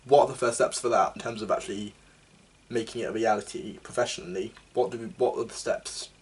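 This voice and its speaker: A young male drama student asking questions in a casual chat, a little halting. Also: fairly low pitch, fairly even intonation, slightly rough. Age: young adult.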